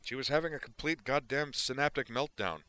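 A man voicing a loud, frantic gonzo doctor. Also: medium pitch, a little fast, voice pushed up, close to an everyday voice. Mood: belligerent. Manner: shouting, cursing, agitated. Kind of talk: audiobook character voice.

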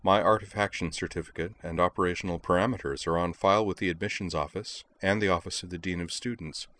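Man voicing a calm, low, impassive robot woman. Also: quick pace. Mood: calm, flat. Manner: calm, even, matter-of-fact. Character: calm, impassive female robot student, precise and polite.

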